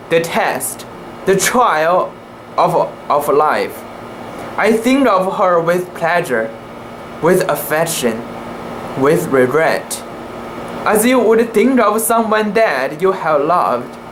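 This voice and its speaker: Slow, halting amateur story narrator. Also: mid-range voice, slight background noise, low-quality recording. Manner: deliberate, halting delivery with stumbles over words. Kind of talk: dramatic reading of a classic sea story, first-person narration.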